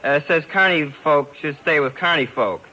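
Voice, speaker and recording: A man's voice: on the high side, bright, forceful tone. A man playing a simple, timid, eager carnival knife thrower who stammers and hesitates, in 1950s radio drama style. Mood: hopeful, sheepish. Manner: hesitant, stammering, slow-witted. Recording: vintage 1950s radio broadcast.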